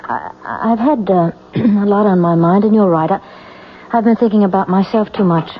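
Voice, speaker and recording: A woman's voice: mid-range voice, expressive, moderate pace, natural voice. A woman playing an anxious, hesitant young wife in an old-time radio drama, with an edgy manner. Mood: preoccupied, subdued. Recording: muffled vintage radio recording, music behind.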